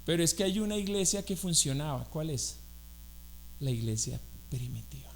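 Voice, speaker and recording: A man's voice: fairly high pitch, lively intonation, normal speed, a little mellow. A Colombian man with a Colombian accent preaching a conversational sermon in church, often asking the congregation questions. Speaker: guest preacher.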